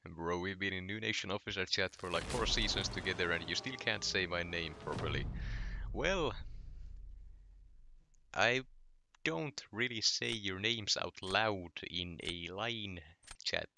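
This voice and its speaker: A man's voice: deep voice, measured pace, gravelly, background noise. A young man from Finland with a Finnish accent, chatting casually on a late-night gaming stream while answering his chat. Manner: relaxed, reading chat aloud and answering.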